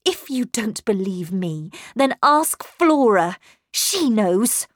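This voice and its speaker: A woman's voice: medium pitch, very animated, slow pace, no echo. A woman voicing an anxious, insistent young governess in a storybook narration, speaking in an urgent, emphatic, impatient manner.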